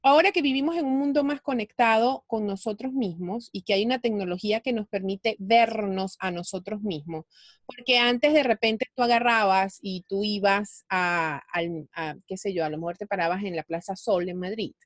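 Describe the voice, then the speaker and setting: A woman's voice: mid-range voice, moderate pace, no echo, clean studio sound. A woman from Venezuela, explaining her ideas reflectively in a conversational interview. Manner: explanatory, reflective, thinks aloud. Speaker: guest, finance speaker.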